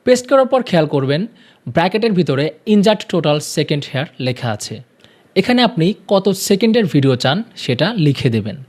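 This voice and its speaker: A man's voice: a little high, a little mellow. A man presenting an instructional tutorial, guiding viewers directly step by step.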